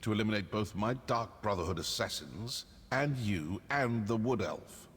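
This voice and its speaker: An actor playing a suave, vengeful assassin in a video game, speaking in a measured, deliberate way. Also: male voice, fairly low pitch, animated, fairly bright tone, slightly rough, heavily acted. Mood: suspicious. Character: suave, vengeful male assassin hunting a cult.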